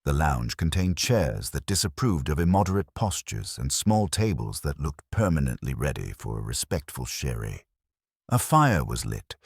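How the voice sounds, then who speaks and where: A man narrates literary fiction in a very deep voice with a husky grain. His delivery is formal and measured, with lively intonation. The sound is dry and close, free of room. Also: no echo. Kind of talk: scripted literary audiobook narration.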